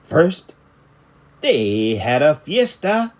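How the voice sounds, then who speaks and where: A man reads a children's story aloud with plenty of drama. His pitch moves widely and expressively, and he takes his time over the phrases. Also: big pitch swings, very slow. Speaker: storybook reader. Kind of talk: animated children's story narration.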